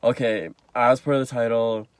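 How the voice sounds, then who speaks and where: A man's voice: medium pitch, flat delivery, unhurried, clear, resonant tone, dry recording. Nasal, rambling young man with a cold. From Canada. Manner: rambling, many fillers and restarts. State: sick, under the weather. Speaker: vlogger. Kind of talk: casual rambling vlog.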